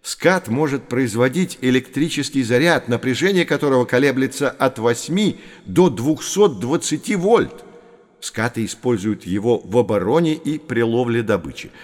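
An actor playing a learned professor who explains things articulately to children in an educational audio drama. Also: male voice, medium pitch, expressive, moderate pace, natural voice. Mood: explanatory. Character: learned male professor guiding children on sea voyage.

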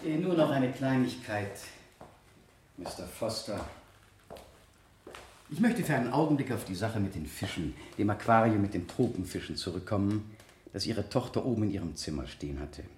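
Male examiner played by an actor, radio drama. Methodical, insistent, courteous, with animated pitch in a roomy acoustic. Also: a little hiss or hum, natural voice. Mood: probing, polite. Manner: deliberate, insistent, formally polite questioning. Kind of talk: 1970 German radio play acting.

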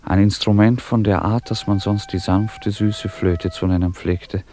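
A man narrating a classic radio play as a composed storyteller, measured, formal and literary. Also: lowish voice, fairly even intonation, warm tone, lightly acted.

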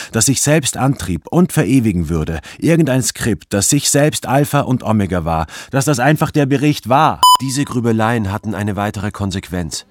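A man's voice: on the low side, darkish tone, no echo, clean studio sound, close to an everyday voice. An actor playing a brooding, obsessive intellectual man who narrates his own story in a literary radio drama. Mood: intense, brooding, then grim, analytical. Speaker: narrator and protagonist.

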